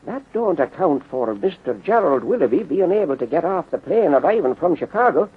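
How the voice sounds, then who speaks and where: Plain-spoken cop sidekick, puzzled, swooping husky delivery, muffled 1930s radio drama recording. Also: male voice, a little high, very animated, a little fast, full character voice. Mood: doubtful, puzzled. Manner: hesitant, plain-spoken, exclaiming. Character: eager, blunt, puzzled male police sergeant sidekick. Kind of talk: 1930s radio serial acting.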